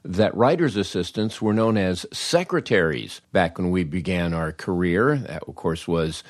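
Low-voiced older American man, dry studio sound. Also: unhurried, dry recording. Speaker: podcast host. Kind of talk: comic anecdotal storytelling.

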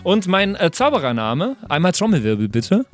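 A man with a slightly high voice talks casually and jokingly, thinking out loud. His intonation swings widely, and music plays underneath. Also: very animated, moderate pace. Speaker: podcast member playing a tabletop role-playing game. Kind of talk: casual chat.

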